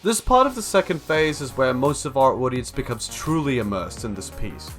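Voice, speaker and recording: A man's voice: mid-range voice, expressive, moderate pace, fairly bright tone, soft background music. A young man reading a scripted video essay as an analytical narrator.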